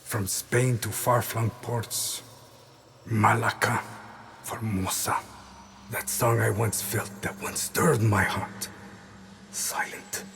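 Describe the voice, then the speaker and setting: An actor playing a weary exiled assassin in a game, narrating his confession in a somber, measured, brooding way. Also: fairly low pitch, steady tone, fairly bright tone, a little hiss or hum, full character voice. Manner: somber, measured, haunted, trailing into breathy murmurs. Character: haunted exiled male assassin, weary, brooding, quietly resolute.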